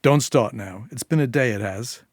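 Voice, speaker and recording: A man's voice: mid-range voice, moderate pace, darkish tone, no room sound, studio quality, lightly acted. A man voicing a slovenly, brutish wounded man, wary and probing, in an audio short story. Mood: weary.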